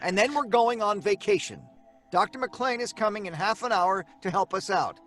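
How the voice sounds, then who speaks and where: A man's voice: sits high, expressive, moderate pace, fairly bright tone, faint music behind. A stern, harried father. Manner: stern, exasperated.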